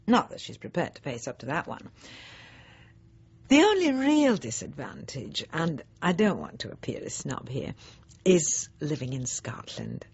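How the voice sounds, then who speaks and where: Husky, swooping, snobbish housewife. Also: female voice, sits low, wildly swooping pitch, measured pace, a little mellow, dull audio, full character voice. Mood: aggrieved, pitying, then confiding, apologetic. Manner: chatty, self-justifying, genteel, digressive with pointed emphasis. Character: snobbish, self-satisfied, resentful middle-aged housewife and mother. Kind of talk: radio drama monologue, confiding in the listener.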